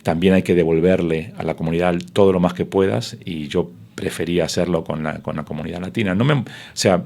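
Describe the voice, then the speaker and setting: A man's voice: low voice, darkish tone. A middle-aged man, a sports broadcaster whose first language is Spanish, telling his story in an interview with many fillers and restarts.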